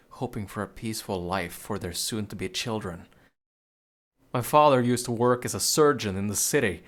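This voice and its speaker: A man's voice: lowish voice, close to an everyday voice. A man voicing a troubled, bitter young man in a grim, brooding game monologue. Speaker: game protagonist.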